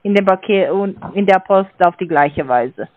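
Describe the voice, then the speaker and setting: A woman's voice: mid-range voice, expressive, moderate pace, dry recording. A woman calling as a sales agent over the phone, talking hesitantly with many filler sounds and non-native grammar. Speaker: sales caller. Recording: dry tinny phone line.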